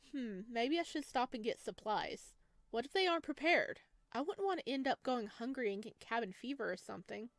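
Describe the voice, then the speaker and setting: A woman reading the text of a horror visual novel aloud at an even pace, stopping for chatty asides and giggles. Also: a little high, normal speed, pauses often, no room sound. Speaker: game streamer.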